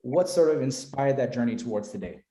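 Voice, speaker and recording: A man's voice: medium pitch, animated, brisk, warm tone. Upbeat male podcast host, echoey room. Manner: upbeat, affirming. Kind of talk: interview hosting.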